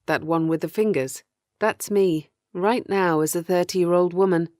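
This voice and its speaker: A woman voicing a captive thirty-year-old woman, weary and afraid, telling her horror story in measured, grim audiobook narration. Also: low voice, a little mellow, no echo, clean studio sound, natural voice.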